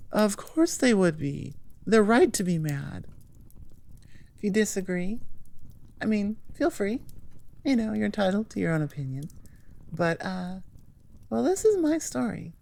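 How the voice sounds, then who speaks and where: A woman's voice: medium pitch, gravelly, a little mellow. A woman hosting her audio channel in casual, rambling chat, informal and self-deprecating as she thinks aloud. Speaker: audio roleplay creator and channel host.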